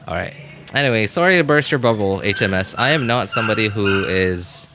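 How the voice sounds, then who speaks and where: A man chatting casually as he streams a game, rambling and halting as he hunts for words in a self-deprecating way. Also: on the low side, animated, music in the background. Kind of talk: casual livestream chat while gaming.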